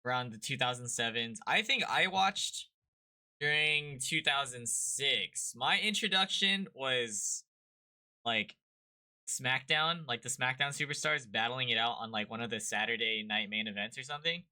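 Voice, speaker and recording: A man's voice: medium pitch, normal speed, bright, forceful tone. A man hosting a podcast, asking curious follow-up questions in a casual interview chat. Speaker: podcast host.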